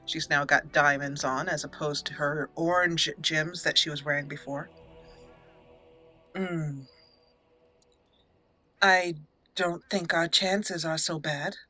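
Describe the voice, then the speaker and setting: A woman's voice: low-pitched, steady tone, slow, long pauses, bright, forceful tone, faint music behind. A woman hosting a casual, chatty roleplay let's play, sometimes reading dialogue theatrically. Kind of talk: casual game commentary with roleplay.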